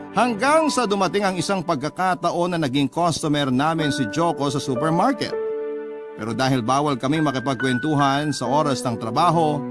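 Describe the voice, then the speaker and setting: A Filipino man narrates a scripted first-person story as a radio host. His voice is a little high for a man. Music plays clearly beneath the narration. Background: music under the narration.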